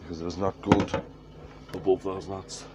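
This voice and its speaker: A man's voice: sits low, little pitch movement, moderate pace. An Irish man with a head cold, talking plainly as he works in his woodturning workshop. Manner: plain-spoken, matter-of-fact. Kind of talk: workshop narration. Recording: workshop noise behind voice.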